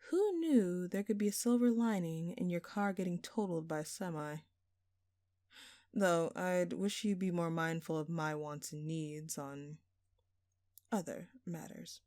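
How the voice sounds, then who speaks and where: A woman's voice: fairly low pitch, measured pace, darkish tone, no echo, studio quality, natural voice. A woman playing a smug, obnoxiously flirtatious thief in an audio roleplay, drawling and teasing in a sultry, mock-innocent way. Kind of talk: audio roleplay acting addressed to the listener.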